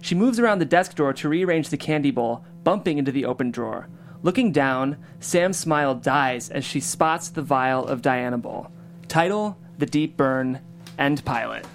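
A man's voice: medium pitch, lively intonation, moderate pace, faint music behind. A man who hosts a table read and reads out the stage directions. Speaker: stage directions reader and host. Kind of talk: scripted narration.

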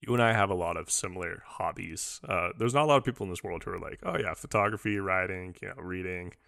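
A man's voice: low-pitched, fast, creaky, pushed and bright, no room sound, studio quality. A young man from Liverpool with an American accent, hosting a podcast in an enthusiastic, casual way. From Liverpool, England. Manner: enthusiastic, casual, says dude a lot. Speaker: podcast host. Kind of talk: casual interview chat.

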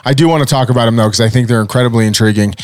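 A man's voice: mid-range voice, rapid-fire, no echo. Big-voiced man hosting a podcast. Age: 40s. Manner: loud, energetic, joking, with fillers. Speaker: podcast host. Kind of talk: casual podcast chat. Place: podcast studio. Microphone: studio mic with headphones.

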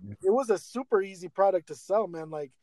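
A man's voice: high voice, a little fast, crowd noise. A man chatting as an interview guest, casual and filler-heavy, saying "like" and "man" a lot. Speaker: guest, friend helping the business.

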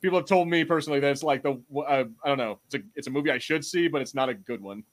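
A man's voice: mid-range voice, racing. A man hosting a podcast in casual, enthusiastic, informal fan chat. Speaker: podcast host.

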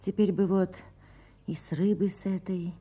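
Actress as a mellow, husky peasant wife. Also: female voice, medium pitch, fairly even intonation, moderate pace, close to an everyday voice. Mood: pleading. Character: patient, plaintive peasant wife of a fisherman. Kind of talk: radio drama acting. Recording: dull muffled radio play recording.

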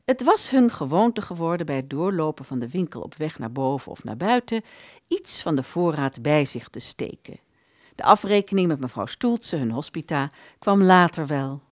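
A woman narrating a literary audio drama from a script in an expressive storytelling manner. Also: low voice, normal speed, dry recording, clean studio sound.

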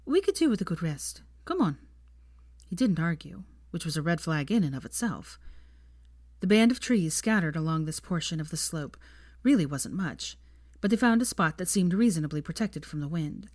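A woman reading fan fiction aloud in an expressive audiobook style of narration. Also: low voice, normal speed, no room sound, clean studio sound.

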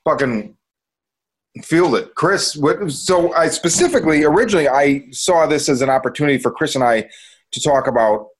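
A man's voice: fairly high pitch, normal speed, husky. A man hosting a podcast in a casual, conversational chat style. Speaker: podcast host.

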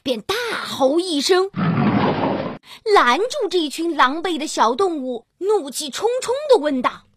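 Slow, lively, high-voiced woman telling children's stories. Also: no echo, studio quality. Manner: expressive, drawn-out storytelling with gentle sentence particles. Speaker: children's storyteller. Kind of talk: scripted fairy-tale narration for children. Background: children's music.

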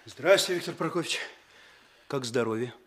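An actor playing an earnest, officious eighteen-year-old police chief in a radio play, speaking precisely. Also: male voice, mid-range voice, animated, a little fast, a bit bright. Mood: eager, concerned. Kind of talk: Soviet radio play acting.

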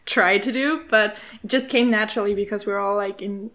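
A woman's voice: mid-range voice, fairly even intonation, brisk, fairly bright tone. A woman in her twenties from Austria, chatting casually as a guest in an interview, with many fillers and frequent laughs. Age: 20s. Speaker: podcast co-host, guest.

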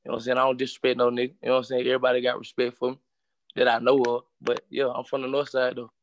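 A man's voice: medium pitch, monotone, very fast, dry recording, muffled recording. A young man in his twenties from Charlotte, a rapper guest talking in streetwise slang and constantly saying "you know what I'm saying". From Charlotte, North Carolina. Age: 20s. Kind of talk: casual interview.

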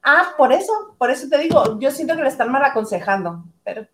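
A woman's voice: high voice, big pitch swings, a little fast, reverberant. A woman guest chatting casually about celebrity gossip, rambling with fillers. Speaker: guest commentator.

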